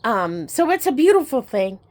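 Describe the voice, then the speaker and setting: A middle-aged woman sharing personal memories in a casual, reflective, sentimental and halting way, like a vlogger talking to her viewers. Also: fairly high pitch, very animated, darkish tone. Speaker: storytelling vlogger. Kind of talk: personal reminiscing to camera, casual chat.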